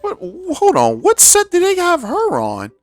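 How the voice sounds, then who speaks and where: A man's voice: extremely high pitch, huge pitch swings, a little slow, faint music behind. A man giving casual gameplay commentary as a streamer, thinking aloud as he goes. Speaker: game streamer.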